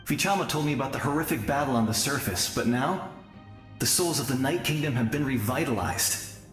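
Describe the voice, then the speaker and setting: Man acting young spirit guide, gentle, sincere, medium pitch, game cutscene, echoey. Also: brisk, close to an everyday voice. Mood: warm resolve. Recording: echoey, music behind.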